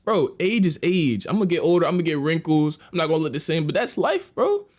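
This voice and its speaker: A man's voice: on the high side, quick pace. An 18-year-old man giving casual, slangy reaction commentary, exclamatory and peppering his talk with "bro". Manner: animated, exclamatory, repeats "bro". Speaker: reaction streamer.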